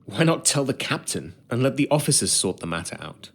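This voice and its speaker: An actor playing a polite, earnest young ship's steward in a period video game, speaking in articulate, measured words. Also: male voice, medium pitch, very animated, slightly rough. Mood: puzzled. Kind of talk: video game character acting and first-person narration.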